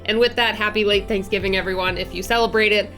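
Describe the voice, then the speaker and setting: A young woman in her twenties narrates her art vlog in a relaxed, friendly way, her voice noticeably nasal from a lingering cold. She rambles cheerfully with plenty of ums and self-corrections. Soft music sits quietly underneath. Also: mid-range voice, fast talker. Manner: chatty, upbeat, many fillers and restarts. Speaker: art channel host.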